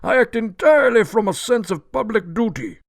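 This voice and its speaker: A litigious old gentleman in a radio play. The voice is high and gravelly, swooping widely as he declaims. He is pompous, crotchety and forever indignant. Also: big pitch swings, normal speed, dry recording, clean studio sound, full character voice. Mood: boastful, triumphant. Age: older. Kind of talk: radio drama acting.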